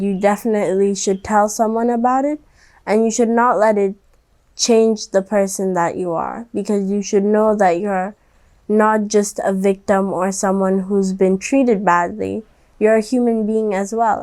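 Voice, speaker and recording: A woman hosting a children's read-aloud, speaking directly to young listeners in a gently instructive way. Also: mid-range voice. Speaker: storybook reader and host.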